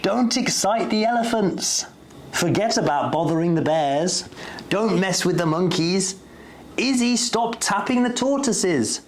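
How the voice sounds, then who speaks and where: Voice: mid-range voice, lively intonation, measured pace, echoey room, pitched above the performer's own voice. A storyteller voicing an exasperated, bossy female schoolteacher who scolds in singsong commands in a children's storybook. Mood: scolding, exasperated.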